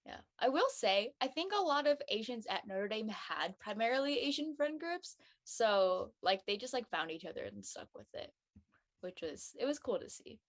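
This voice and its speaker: A woman's voice: fairly high pitch, big pitch swings, normal speed. Woman chatting casually and emphatically. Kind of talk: casual chat.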